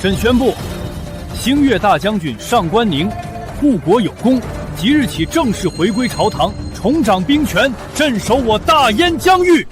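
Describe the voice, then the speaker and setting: An imperious emperor in a costume drama. His delivery is stern and ceremonial with animated pitch movement, over a clear music bed. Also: measured pace. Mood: commanding. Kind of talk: period short-drama acting.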